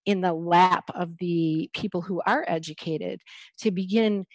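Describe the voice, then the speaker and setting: A middle-aged woman hosting an interview over a video call with a headset, warm and affirming. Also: lowish voice, fairly even intonation, a little slow, no echo. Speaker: host. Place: small village home indoors.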